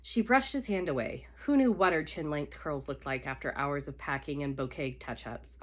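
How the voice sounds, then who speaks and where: Low-voiced woman with a head cold. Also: moderate pace, fairly bright tone. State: congested with a cold. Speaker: live reader of romance novels. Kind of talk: casual, unedited read-aloud of fiction. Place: at home by a fire.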